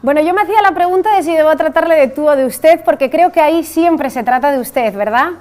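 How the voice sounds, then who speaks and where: A woman with a Spanish accent hosts an interview in a lively, engaging way. Her voice sits fairly high, and she keeps the conversation moving. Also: quick pace. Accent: Spanish from Spain. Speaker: show host. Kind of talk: hosting an interview.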